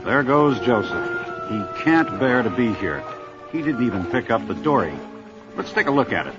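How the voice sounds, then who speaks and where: A man playing a calm, protective grandfather and seasoned diver in an audio drama. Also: mid-range voice, normal speed, natural voice. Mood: somber. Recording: dull lo-fi recording, faint music.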